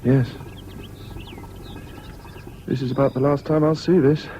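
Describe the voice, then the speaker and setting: An actor playing a calm, decent older schoolboy with an English public-school accent, speaking in a measured, kind way in a radio drama. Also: male voice, medium pitch, close to an everyday voice. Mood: kindly, friendly. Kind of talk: 1970s BBC radio drama acting. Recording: muffled, hissy old radio broadcast.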